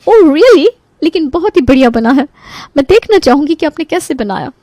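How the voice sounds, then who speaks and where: ऊँची आवाज़ वाली चहकती, बातूनी अभिनेत्री की निभाई महिला मेहमान. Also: female voice, sits high, very animated, moderate pace, warm tone, no room sound. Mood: curious, impressed. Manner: gushing, mixes in English words. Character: boss's wife, chatty, delighted, insistent dinner guest. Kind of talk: Hindi animated story dialogue.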